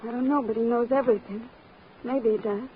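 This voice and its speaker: A woman's voice: on the high side, fairly even intonation, fairly quick, close to an everyday voice. An actress playing an anxious, earnest young woman in an old radio drama, melodramatic and breathless. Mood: anxious, distressed. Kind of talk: 1940s-50s radio drama acting. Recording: muffled, noisy old radio recording.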